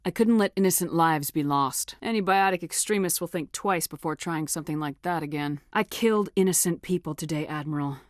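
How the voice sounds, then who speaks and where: Game lead: a woman playing a tough female commander, lower register, firm and no-nonsense, very dry studio sound. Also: a little fast, few pauses, no echo, natural voice. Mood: sober, dutiful. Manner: firm, clipped, authoritative. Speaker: video game voice actor. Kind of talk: video game dialogue acting.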